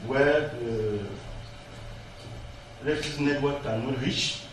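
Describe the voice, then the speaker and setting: Cameroonian guy on a panel, slow, searching for words, super echoey with background noise. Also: medium pitch. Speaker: government energy director, panelist. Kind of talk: spoken panel remarks in non-native English. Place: conference event room. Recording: very echoey hall, distant mic, noisy.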